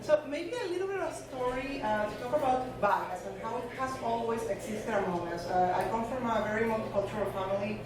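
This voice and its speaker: A woman's voice: on the high side, animated, fairly quick, few pauses, a crowd in the background. A woman giving a conference talk in a loose, conversational way with many fillers. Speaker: conference speaker. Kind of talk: presentation talk. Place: conference hall. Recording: echoey room from far off with noise.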